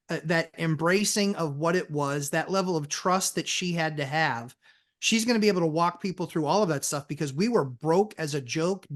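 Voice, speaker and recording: A married man and real estate investor, talking earnestly as a podcast guest and telling his story in quick bursts. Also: a little high. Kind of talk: casual podcast chat.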